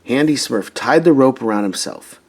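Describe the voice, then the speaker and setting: A man reading a children's storybook aloud in an expressive, emphatic way. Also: mid-range voice, normal speed, slightly rough. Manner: animated, emphatic, dramatizing story moments. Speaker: storybook reader.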